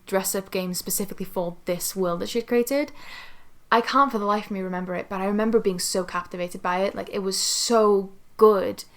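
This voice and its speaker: A woman's voice: mid-range voice, vocal fry. A young adult woman chats casually as a podcast co-host. She is emphatic and swears freely, with a mid-range voice.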